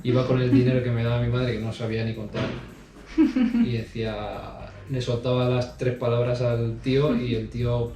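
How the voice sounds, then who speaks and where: A man answering interview questions in a relaxed way, with lots of pauses and restarts. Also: medium pitch, distant mic, soft background music. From Spain. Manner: hesitant, many fillers and restarts. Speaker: interview guest. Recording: roomy sound from off mic.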